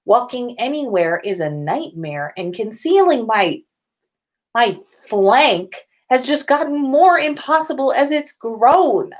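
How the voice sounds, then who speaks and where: An exasperated teenage wizard boy as a woman reader plays him, pitched up high. He complains with heavy emphasis, mortified and fed up, in a comic storybook reading. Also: big pitch swings, takes it slowly, heavily acted. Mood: frustrated.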